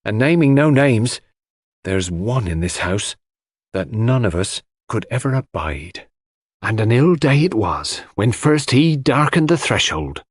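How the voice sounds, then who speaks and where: A man's voice: medium pitch, sing-song, unhurried, husky, dry recording, studio quality. A man voicing an old, loyal English housemaid who is deferential, old-fashioned and easily indignant, in an audiobook mystery. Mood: bitterly indignant.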